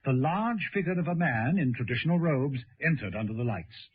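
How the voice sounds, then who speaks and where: A man's voice: mid-range voice, expressive, a little fast, husky. A man giving scripted narration for a radio drama. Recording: tinny, noisy old radio recording.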